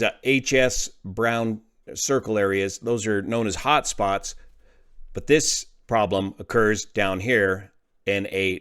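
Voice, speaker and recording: A man's voice: fairly low pitch, clear, resonant tone. A man hosting an aviation show, explaining incidents step by step in an emphatic, explanatory way. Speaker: aviation commentator and host.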